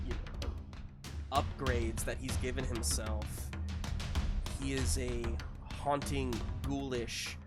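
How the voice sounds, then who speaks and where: A game master hosting and narrating a tabletop roleplaying game, moving between drawn-out suspenseful narration and casual chat. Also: medium pitch. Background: music.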